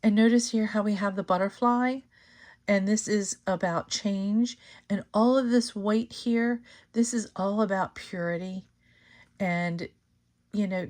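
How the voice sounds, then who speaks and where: A woman with a slightly hoarse voice talks through a tarot reading to her viewers. She thinks aloud as she goes, pausing on fillers and starting her sentences over. Her voice sits at an ordinary middle pitch for a woman. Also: a little mellow. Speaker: tarot reader and channel host. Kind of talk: casual tarot reading to camera.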